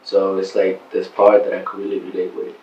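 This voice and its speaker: Male cast member, earnest, deep monotone, roomy lo-fi. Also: fairly quick. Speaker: actor in the film. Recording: roomy, distant, dull sound.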